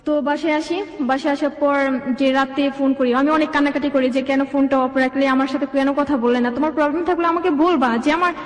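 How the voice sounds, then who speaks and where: High-pitched young woman, rapid storytelling, echoey. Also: steady tone. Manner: run-on, detailed retelling with reported speech. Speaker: guest telling own life story. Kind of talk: conversational personal storytelling, quoting dialogue. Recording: echoey, reverberant sound.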